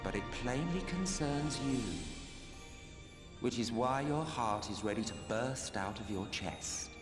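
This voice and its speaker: A man's voice: mid-range voice, expressive, unhurried, close to an everyday voice. A man playing an urbane, mocking immortal villain in a video game, sardonic and theatrical. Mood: sly, taunting. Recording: reverberant hall, clear music behind. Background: music.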